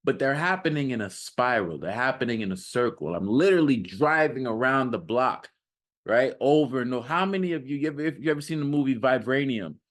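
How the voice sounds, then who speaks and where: A man's voice: mid-range voice, animated, brisk. A man speaking as a self-improvement coach in a casual motivational monologue, emphatic and repetitive, addressing the listener directly with rhetorical questions.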